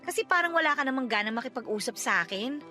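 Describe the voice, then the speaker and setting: A woman's voice: sits high, lively intonation, fast, pushed and bright, soft background music. A woman with a Filipino accent playing a talkative, stubborn wife in her thirties in a radio drama, chatty and nagging. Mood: annoyed, questioning.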